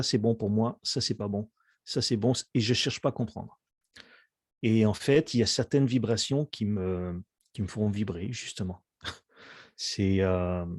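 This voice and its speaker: A man's voice: on the low side, darkish tone, no echo, clean studio sound. A middle-aged man from Quebec, chatting as an interview guest and often hesitating over fillers and restarts. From Quebec, Canada. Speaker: musician guest. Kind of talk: casual interview chat.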